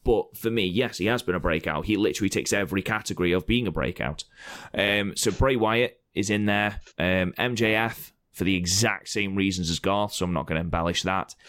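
A man with a very low, hoarse and croaky voice talks in casual podcast banter. He is blunt and opinionated and swears freely. The sound is dry and close, with no room around it. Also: brisk, fairly bright tone, no echo. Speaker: podcast co-host presenting award results.

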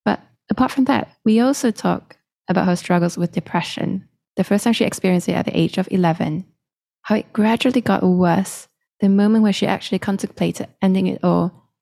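A woman hosts her podcast and reads the episode intro in a measured, scripted way. Her voice sounds dark and mellow, with a gravelly fry at the ends of phrases. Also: medium pitch. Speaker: podcast host and producer.

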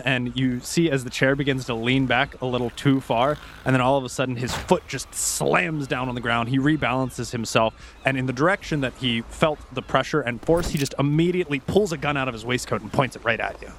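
A man's voice: medium pitch, fairly bright tone, a little hiss or hum. Man narrating as game master. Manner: descriptive, unhurried, with fillers.

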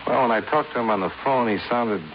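A man's voice: mid-range voice, quick pace, lightly acted. An American man playing a hard-boiled, inquisitive insurance investigator in 1950s radio drama style, clipped and matter-of-fact. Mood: probing. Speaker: lead actor and narrator of a detective series. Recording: noisy, narrow-band 1950s radio recording.

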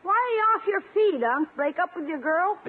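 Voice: extremely high pitch, animated, fairly quick. A performer playing a cheeky, slangy schoolboy nephew in 1950s radio comedy style, wisecracking and exasperated. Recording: tinny 1950s radio recording.

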